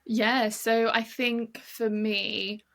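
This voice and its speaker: A woman's voice: fairly high pitch, flat delivery, very slow, creaky, a bit bright. A young British woman chatting as a podcast guest, filling her talk with "like" a lot. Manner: chatty, fills with "like" often. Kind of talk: casual interview chat.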